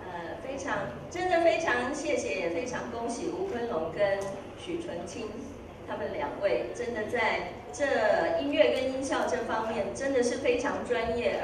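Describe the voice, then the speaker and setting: A woman from a radio station giving earnest acceptance remarks. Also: on the high side. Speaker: radio station award winner. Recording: echoey hall, distant mic, background noise.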